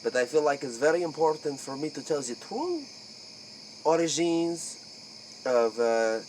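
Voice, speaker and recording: A man playing a secretive vampire clan member in a comic interview, speaking halting, repetitive broken English. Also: fairly high pitch, very animated, darkish tone. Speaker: interview guest in character. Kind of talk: improvised comic role-play interview. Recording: noisy, crickets and insects behind.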